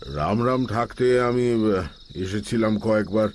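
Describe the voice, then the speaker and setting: A man acts a composed, grave elder holy man in an audio drama. He speaks very slowly in a low voice, pausing and humming between measured phrases. Faint insects sound behind him. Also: a little mellow, slight background noise, natural voice. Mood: calm, reassuring.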